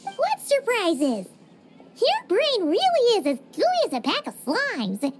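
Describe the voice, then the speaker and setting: A woman's voice: huge pitch swings, slow pace, over loud music, full character voice. A woman voicing a tiny, chirpy female fairy companion who is blunt and teasing in a game cutscene. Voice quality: squeaky, childlike.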